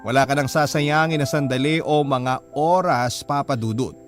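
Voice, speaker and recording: A man's voice: mid-range voice, moderate pace, natural voice. Actor as earnest Filipino man narrating, music behind. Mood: sincere, reflective. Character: earnest young newlywed man narrating his love story. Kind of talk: scripted letter narration in radio drama.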